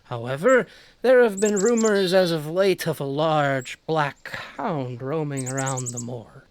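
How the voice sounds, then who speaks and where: A man playing an eccentric, bookish naturalist and bug collector in a radio drama, speaking briskly and precisely. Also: a little high, slight background noise, natural voice, poor audio. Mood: matter-of-fact. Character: eccentric male naturalist and insect collector, chatty, matter-of-fact.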